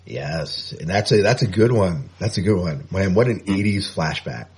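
A man co-hosting a podcast in casual chat, easygoing and self-deprecating, often trailing off quieter. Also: low-pitched, animated, normal speed, husky. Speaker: podcast co-host.

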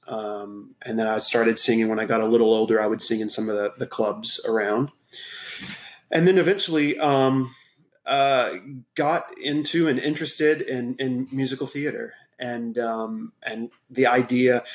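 A man from Texas, a podcast guest, telling his life story in a relaxed, casual way with many pauses and ums. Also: medium pitch, roomy sound. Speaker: podcast guest, gym owner. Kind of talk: casual interview talk.

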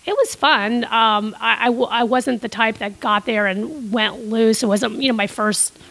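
Middle-aged woman raised in Costa Rica, podcast guest, chatty and rambling, a touch high-pitched. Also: unhurried, vocal fry, a bit bright, no echo, low-quality recording. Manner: rambling, many restarts and fillers. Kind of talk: casual interview storytelling.

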